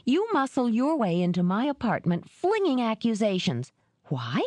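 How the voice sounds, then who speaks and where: A spirited, quick-tempered young woman shopkeeper, as an audiobook narrator puts her on, pitched well above the reader's own low voice and pushed louder with emphatic stress. The sound is close and dry. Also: big pitch swings, no echo. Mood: indignant. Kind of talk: audiobook character reading.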